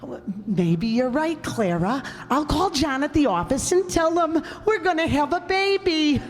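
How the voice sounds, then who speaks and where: An excitable young wife in a live radio play, as a woman plays her. She is polite but flustered, her voice high, echoing through a hall with faint music behind. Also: a little slow.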